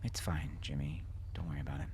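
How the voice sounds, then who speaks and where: A man voicing a weary father in an audiobook, who explains medical matters in a careful, halting way to reassure. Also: very deep voice, level tone, a little mellow, slight background noise, pitched below the performer's own voice. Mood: quiet, weary. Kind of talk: audiobook character acting.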